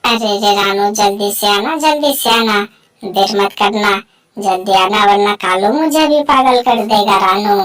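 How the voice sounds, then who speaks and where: A woman's voice: medium pitch, unhurried, a bit bright, close to an everyday voice. A woman voicing a fretful, scolding crow wife in a cartoon story, complaining and repeating herself. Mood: fed up. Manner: repetitive, complaining. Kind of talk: Hindi animated bird story dialogue.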